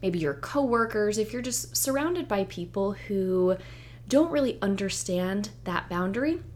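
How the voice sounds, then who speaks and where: Hoarse, chatty woman podcast host. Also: mid-range voice. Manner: chatty, upbeat and reassuring. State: hoarse, nearly losing her voice. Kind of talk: solo podcast intro monologue.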